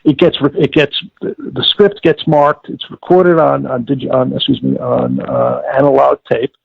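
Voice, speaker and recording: An older man with a heavily creaky, husky voice gives an interview over a narrow, phone-like connection. He explains things deliberately, stopping to correct himself in rather formal wording. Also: mid-range voice, expressive. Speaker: interviewee, former audio producer-director. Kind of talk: reminiscing interview, explaining a process. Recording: tinny phone-like line with room echo.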